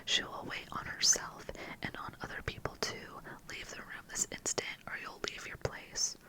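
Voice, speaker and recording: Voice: normal speed, bright, forceful tone, low-quality recording. A storyteller whispering the part of a stern, cold headmistress who barks harsh orders. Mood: fierce, commanding. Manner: harsh, commanding. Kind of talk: whispered ASMR story reading.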